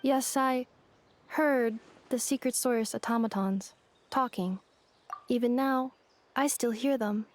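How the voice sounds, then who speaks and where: An actress voicing a calm, analytical female android in a video game, speaking in flat, precise phrases. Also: on the high side, slow pace, long pauses, slight background noise. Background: game music.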